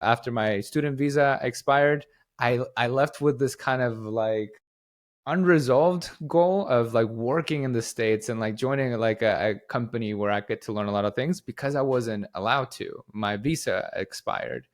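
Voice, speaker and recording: A man's voice: mid-range voice, normal speed. A young man from Guatemala, the chief executive of a company, giving long, rambling interview answers with lots of fillers and restarts. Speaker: company CEO, podcast guest.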